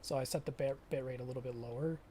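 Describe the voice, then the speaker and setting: A young man chatting casually with viewers during a live stream of a farming game, often pausing and restarting his sentences. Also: medium pitch, steady tone, warm tone, slight background noise. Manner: halting, self-correcting, chatty with viewers.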